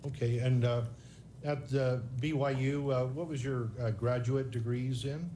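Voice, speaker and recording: Halting male lawyer, medium pitch. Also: fairly even intonation, dark tone. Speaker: plaintiff's lawyer. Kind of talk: formal deposition questioning. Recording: faint background noise, video call.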